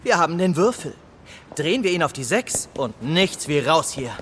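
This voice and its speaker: Curious naive young sidekick in a kids audio play, eager, really animated, slight noise. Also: male voice, a little high, normal speed. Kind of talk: children's audio drama acting.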